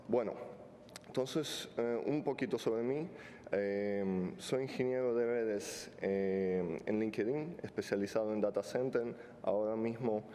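A man in his thirties who is not a native speaker, giving a technical conference talk with many fillers and joking asides. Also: warm tone. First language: not Spanish. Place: large conference hall stage. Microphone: podium mic. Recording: hall reverb, faint rumble.

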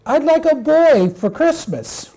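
A little storybook puppy, acted out by a woman, high and soft-toned. He is eager and plaintive, with a stammering, childlike way of pleading for what he longs for. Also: animated, slow pace, poor audio. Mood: eager, earnest.

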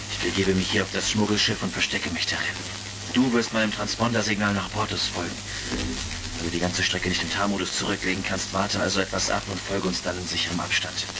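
An actor playing a calm, firm, heroic space commander in a retro sci-fi radio play. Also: male voice, low voice, brisk. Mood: calmly commanding. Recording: noisy, crackling retro radio-play sound.

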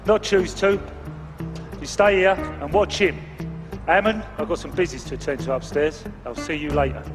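A menacing London gangster, played by a male game actor, taunting and full of swagger. His voice sits high for a man, and loud music plays behind him. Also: moderate pace, lightly acted. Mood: commanding. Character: menacing, cocky London gangster fresh out of prison. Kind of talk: video game cutscene acting.

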